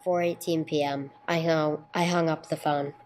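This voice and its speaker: A woman's voice: sits low, level tone, normal speed, darkish tone, slight background noise, close to an everyday voice. A woman playing a terse, dogged police sergeant who narrates a case in a radio play, in a clipped, matter-of-fact way. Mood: pressing, matter-of-fact.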